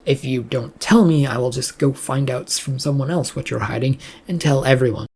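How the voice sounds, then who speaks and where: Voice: medium pitch, moderate pace, gravelly, a little mellow, breathy edge. Blunt, defiant male researcher, voiced by a reader. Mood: flippant. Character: defiant, smirking male researcher challenging his boss.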